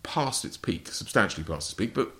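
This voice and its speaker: A man's voice: lowish voice, expressive, fairly quick, fairly bright tone. An English man, an author on port wine, answering interview questions in precise, clipped phrases. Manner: precise, explanatory, lists facts in short clipped phrases.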